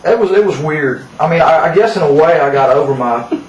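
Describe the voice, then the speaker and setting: A man reminiscing in casual chat, in a halting, informal, amused way. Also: fairly high pitch, animated, far from the mic, lo-fi sound. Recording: echoey room with crowd noise.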